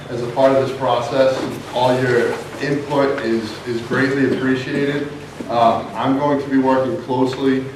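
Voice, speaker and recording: A man opening a public meeting and introducing speakers, talking haltingly with many fillers. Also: mid-range voice. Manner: halting, many ums and uhs. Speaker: town official opening a meeting. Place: large meeting room. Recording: echoey hall from far off with noise.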